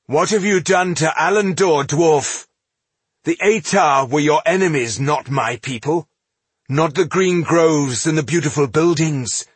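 Fantasy audiobook elf performed by a man, impassioned and bitter, high-pitched with a husky edge. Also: unhurried, no room sound. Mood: furious accusation. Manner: accusatory, impassioned. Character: anguished, indignant male elf accusing his people's killer.

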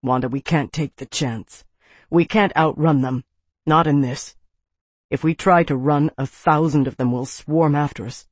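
Narrator voicing a hushed, desperate young man. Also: mid-range voice, fairly even intonation, husky, no echo. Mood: grim, resigned. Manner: hushed, halting, resigned. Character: desperate young man, choked with regret, whispering.

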